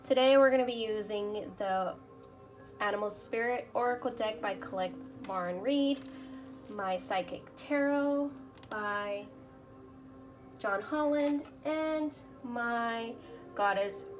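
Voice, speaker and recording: A woman whose first language is Spanish gives a tarot reading at a table, speaking slowly and softly in a middle-pitched voice. She murmurs as she shuffles and reads the card text aloud. Background music plays clearly under her voice. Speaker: tarot reader. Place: indoors at a table.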